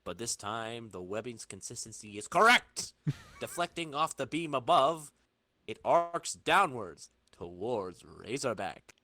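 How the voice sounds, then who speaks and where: A man's voice: mid-range voice, very animated, unhurried, pushed and bright. A man hosting a podcast, informally narrating a comic book in dramatic fashion with banter. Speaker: podcast host reading narration.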